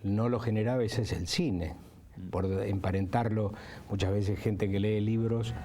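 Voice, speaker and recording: A man speaks reflectively in a low, mellow voice. He circles his phrases hesitantly, as in an interview answer. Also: fairly even intonation, a little slow.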